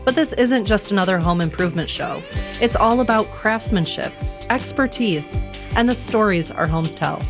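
A woman's voice: mid-range voice, expressive, normal speed, over music. A woman reading an upbeat scripted radio promo.

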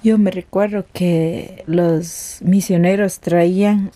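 A woman from Ecuador answering an interview in a studio in short, simple phrases, paused for an interpreter. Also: on the low side, steady tone, dark tone, dry recording. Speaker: guest.

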